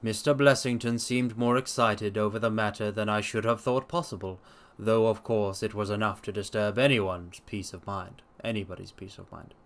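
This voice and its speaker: A man voicing a sober Victorian doctor who recounts a strange incident in formal, measured storytelling. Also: lowish voice, fairly even intonation, close to an everyday voice. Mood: sober, concerned. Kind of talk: in-character narration of a detective story.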